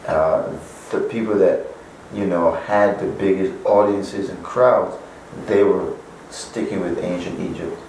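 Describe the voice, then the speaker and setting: A man's voice: sits low, steady tone, measured pace, a little mellow. A man from Barbados, an author answering interview questions at length, with many "you know" fillers. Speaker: author and guest. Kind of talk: interview answers, spiritual teaching. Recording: echoey far-off room, faint noise.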